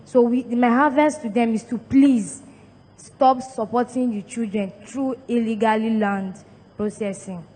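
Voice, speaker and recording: A woman's voice: fairly high pitch, steady tone, a little slow, warm tone, husky. A woman from Nigeria with a Nigerian accent, giving an earnest personal testimony in a plain way with restarts.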